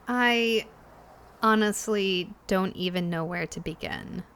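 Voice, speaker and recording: An actress plays a shaken young woman in an audio drama. She speaks slowly and haltingly, sighing and hesitating as she recounts her troubles in a natural, conversational way. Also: medium pitch, a bit bright. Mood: weary. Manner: halting, hesitant, with sighs and pauses.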